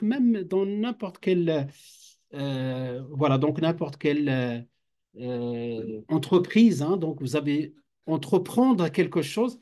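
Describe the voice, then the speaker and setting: A man teaches a class of students in a classroom. His voice sits a little high for a man, and he talks in a halting way, restarting sentences and dropping phrases into his French. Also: dark tone. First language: Arabic. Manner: hesitant, many fillers and restarts, mixes Arabic phrases. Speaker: teacher at an Islamic institute. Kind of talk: classroom lecture.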